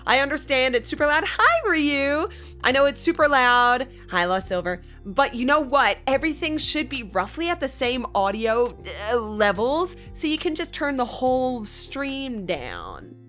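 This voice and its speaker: A woman's voice: high-pitched, big pitch swings, measured pace, a bit bright, soft background music. Bubbly, giggly young woman streamer. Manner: bubbly, giggly, greets chat by name. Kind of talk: casual chat with live viewers. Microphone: desk mic, loud.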